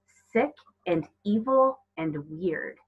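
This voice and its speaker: A woman's voice: medium pitch, animated, drawn-out words, breathy edge, phone-quality sound, heavily acted. A woman voicing a brave, defiant young girl from a storybook, firm, blunt and stubborn.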